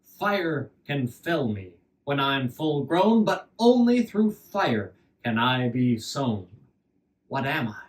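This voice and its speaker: A man voicing a wistful, kindly old fiddler who speaks in measured riddles in a live-style folktale telling. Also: medium pitch, very animated, far from the mic, pitched below the performer's own voice, rough recording. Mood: measured, cryptic. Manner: measured, cryptic, addresses the boy as son.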